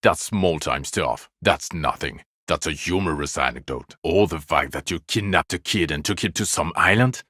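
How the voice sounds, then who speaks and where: Casting: exasperated male detective for a game, extremely low voice, sardonic, profane, accusatory, recorded close and dry. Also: normal speed, hardly any pauses, pushed and bright, no echo, heavily acted. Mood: bitter, sarcastic. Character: bitter, exasperated male police detective berating his drunk partner. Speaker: video game voice actor. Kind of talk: dramatic game dialogue, scolding.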